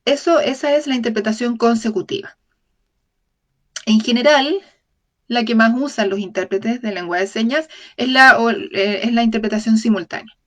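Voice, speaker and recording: A woman voicing a lecture for an interpreter, speaking in a measured way, often correcting herself mid-phrase and checking in with the audience. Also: on the high side. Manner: measured, with frequent self-corrections and tag questions to the audience. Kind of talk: voiced-over lecture in an open talk series.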